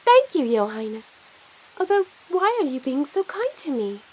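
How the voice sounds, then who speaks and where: Woman as little girl, very high pitch, animated melody, polite, earnest, gentle, audio drama. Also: normal speed, rough recording. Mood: earnest, downcast. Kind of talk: audio play acting.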